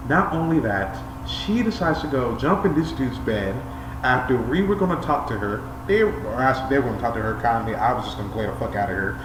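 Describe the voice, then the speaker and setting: A man in his twenties with an American accent, telling a personal story casually in an exasperated, emphatic ranting way. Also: medium pitch. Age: 20s. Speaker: storytelling vlogger. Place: small indoor room, seated against a bare wall. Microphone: webcam microphone at arm's length or farther. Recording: roomy, distant webcam mic with clear noise.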